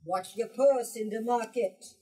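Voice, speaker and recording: A woman's voice: on the high side, a little slow, warm tone, breathy edge, far from the mic. A woman playing a fond, encouraging fisherman father in a storybook reading, with emphatic delivery. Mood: fond, proud.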